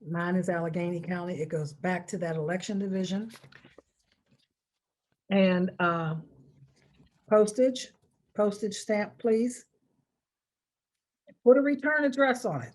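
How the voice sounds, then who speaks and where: An American woman speaks in a medium-pitched voice, walking listeners through a procedure step by step. She is informal but emphatic, leaning on key words and repeating the important points so they are understood. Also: unhurried, long pauses, darkish tone. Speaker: presenter of a voter instruction video. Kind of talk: informal step-by-step public instruction.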